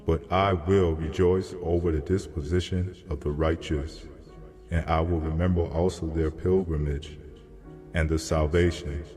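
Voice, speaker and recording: A man playing a solemn heavenly messenger in a dramatized scripture reading, speaking in measured, declamatory archaic phrasing. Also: extremely low pitch, steady tone, darkish tone, soft background music. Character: solemn male heavenly messenger answering a prophet. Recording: long cavernous reverb.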